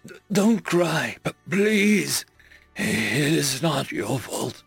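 Injured male captive, played by a man: feeble, gravelly croak, halting and gentle, audiobook drama. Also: sits high, no echo, soft background music, full character voice. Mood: weak, tender.

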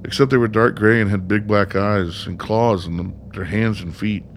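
Male actor as sardonic, foul-mouthed son, radio play, low register, faintly noisy track. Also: steady tone, normal speed, natural voice. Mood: bitter. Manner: blunt, sardonic. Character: bitter, sarcastic, foul-mouthed son of an ailing father.

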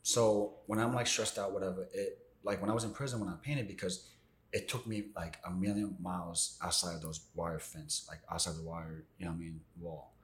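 Fast-talking man in an echoey room. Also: deep voice, flat delivery, slight background noise. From born in Argentina, raised in Maryland. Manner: rambling, lots of "you know what I'm saying". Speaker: guest artist. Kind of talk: casual podcast chat.

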